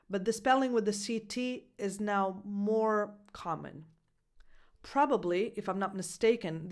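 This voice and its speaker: A young woman with an Italian accent teaches English pronunciation in a video lesson. She speaks clearly and deliberately, at a middle pitch, and slows right down when she models and spells out words.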